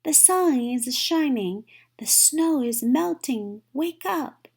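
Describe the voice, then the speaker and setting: A woman's voice: high voice, expressive, slow, a little mellow, breathy edge, pitched above the performer's own voice, exaggerated voice. A woman voicing an eager, cheerful male frog who exclaims and urges in a children's storybook. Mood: excited, calling. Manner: exclaiming, urging, enthusiastic. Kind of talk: children's storybook read-aloud.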